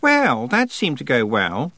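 A man's voice: mid-range voice, huge pitch swings, unhurried, sharp tone, dry recording, voice pushed up, heavily acted. A man voicing a haughty, easily flustered teenage witch girl in a prissy, exclaiming manner for a storybook. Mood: smugly playful.

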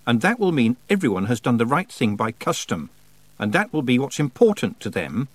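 A man voicing a sensible, sharp-tongued young witch girl in a storybook, plain-spoken and firm. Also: mid-range voice, sing-song, brisk, dry recording. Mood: stern, exasperated.

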